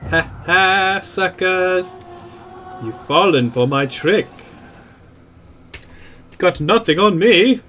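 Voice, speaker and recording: A man's voice: high voice, lively intonation, slow pace, pauses often, fairly bright tone, faint music behind. A man commentating his video game playthrough in a playful, joking way, humming along and teasing the characters. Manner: playful, hums and jokes at the screen. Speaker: let's play gamer. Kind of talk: let's play commentary.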